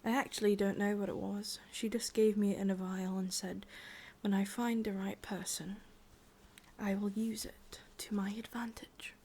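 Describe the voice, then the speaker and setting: A woman with an English accent voicing a possessive, sadistic witch in a slow, breathy ASMR roleplay whisper. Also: mid-range voice, level tone, a little mellow. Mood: confiding. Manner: slow, intimate, teasing. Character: sadistic, possessive witch, softly menacing and doting.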